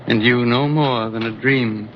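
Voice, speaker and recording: A man's voice: mid-range voice, fairly even intonation, unhurried, background noise, lightly acted. An actor playing a frail, sentimental old man with a tender, courtly way of talking in an old-time radio drama. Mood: dreamy. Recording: dull old radio recording, faint music.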